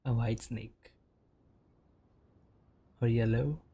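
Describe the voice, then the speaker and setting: A woman game streamer with a very deep, creaky voice muses casually in short remarks. She speaks slowly and without fuss, with faint game music behind her. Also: fairly even intonation, mellow. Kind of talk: casual low-key game reaction commentary.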